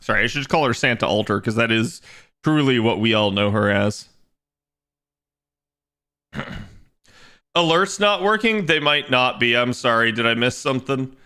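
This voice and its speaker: A young man chatting casually on his game stream, rambling and restarting as he thinks aloud, with a slightly raspy voice today. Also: medium pitch, animated, moderate pace, pauses often, sharp tone. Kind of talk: casual livestream chat.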